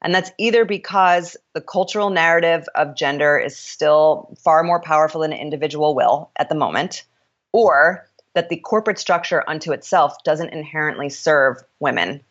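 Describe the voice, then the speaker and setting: A woman with a notably deep, low voice talks candidly as a podcast guest. She is frank and opinionated and often stops to restart her sentences. The recording sounds a little dull and lo-fi. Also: moderate pace. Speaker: author and startup founder, guest. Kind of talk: candid interview conversation.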